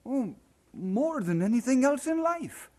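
An actor playing an earnest young Scottish working lad in an old-time radio drama. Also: male voice, extremely high pitch, big pitch swings, unhurried, mellow, full character voice. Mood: earnest, longing.

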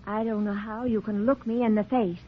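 An actress playing a reproachful middle-aged wife, anxious for appearances, in a 1940s radio drama. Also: female voice, mid-range voice, steady tone, a little fast, heavy background noise, natural voice. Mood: bitter reproach. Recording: tinny 1940s radio recording.

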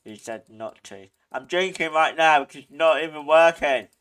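A man hosting a gaming channel, giving excitable play-by-play commentary, often laughing and yelping in alarm. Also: on the high side, moderate pace, clean tone. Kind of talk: live gameplay commentary.

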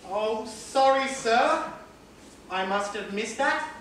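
A woman acting a deferential, slow-witted young male police constable in an amateur stage play. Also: fairly high pitch, expressive, fairly bright tone. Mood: deferential, puzzled. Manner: deferential, dim. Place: large theatre stage, indoors. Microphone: far audience camera. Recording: distant echoey theatre stage, faint noise.

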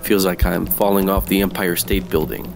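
A man playing a world-weary, wisecracking private detective, narrating an old-time noir radio drama in a deadpan way. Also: deep voice, normal speed, creaky, music in the background. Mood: tense. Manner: deadpan, sardonic.

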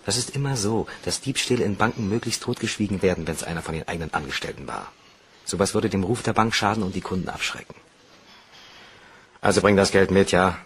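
An anxious young bank clerk hiding a crime in a radio drama. He is defensive and uneasy, with a low-pitched voice. The recording carries faint noise. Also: lively intonation, a little fast. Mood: tense, resolute. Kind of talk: German crime radio play acting.

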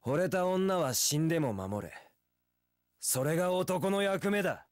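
An actor playing a young wandering warrior in an action game, easygoing, romantic and sincere, with casual, rough speech. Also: male voice, fairly high pitch, no room sound, studio quality. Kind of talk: Japanese action game voice acting.

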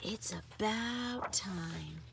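A woman's voice: mid-range voice, extremely slow, gravelly, fairly bright tone, a little hiss or hum, full character voice. A woman voicing a tired, fond but cautious mother in a picture book. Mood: weary.

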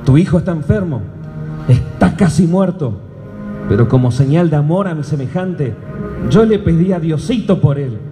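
An actor playing a gentle, fated gaucho outlaw with an Argentine accent, earnest and pleading, in live radio drama. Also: male voice, fairly high pitch, lively intonation, normal speed, lightly acted. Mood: pleading, forgiving. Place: stage in a large hall before an audience. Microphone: stage microphone. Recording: reverberant hall, music clearly behind.

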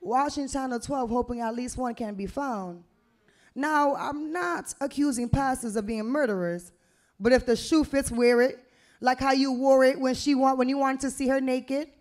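A young woman performing spoken word poetry into a stage mic, with deliberate, emphatic, rhythmic phrasing. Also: high-pitched, a little mellow. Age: young adult. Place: small indoor venue stage with brick wall. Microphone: handheld-type vocal mic on a stand, close to the mouth.

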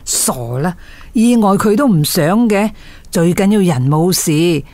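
A woman's voice: lowish voice, lively intonation, a little slow, darkish tone, no echo. An actress playing a loving, understanding older mother and grandmother in a radio drama. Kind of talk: Cantonese radio drama acting.

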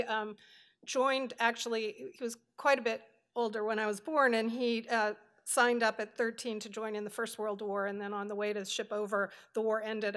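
She is a middle-aged American woman speaking as a professor at a lectern. Her voice sits somewhat high. She lectures like a storyteller, informal and conversational, often drifting into asides before returning to the thread. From Hawaii, United States. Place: lectern in a large auditorium.

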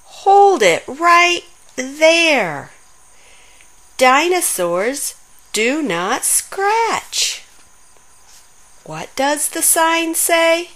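A woman's voice: high-pitched, very animated, drawn-out words, long pauses, a bit bright, voice pushed up, full character voice. A woman voicing a small, bossy, earnest dinosaur in a children's storybook, emphatic and commanding. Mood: bossy, alarmed. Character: small bossy bespectacled dinosaur, earnest about being tough.

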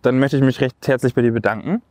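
A man's voice: medium pitch, steady tone, fast, darkish tone, no echo. A young man hosting a casual outdoor interview, easygoing and friendly, asking questions with many hesitations. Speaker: interviewer, host. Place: outdoors on steps by a river.

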